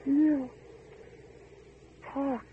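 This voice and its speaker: An actor playing a simple, gentle, childlike workman in an old-time radio drama, speaking in halting broken phrases. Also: very high-pitched, flat delivery, full character voice. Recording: tinny, faintly noisy vintage radio recording.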